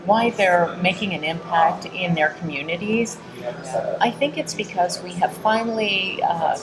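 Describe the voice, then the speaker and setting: A woman's voice: fairly low pitch, measured pace, very noisy. A middle-aged woman from Texas giving earnest, reflective answers as an interview guest. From Texas, United States. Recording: busy crowd chatter behind. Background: crowd murmur.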